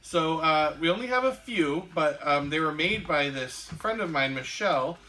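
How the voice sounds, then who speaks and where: A woman's voice: low voice, lively intonation, moderate pace, fairly bright tone. A woman co-hosting a casual chat show, rambling with lots of fillers and easy enthusiasm. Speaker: podcast co-host. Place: shop room indoors.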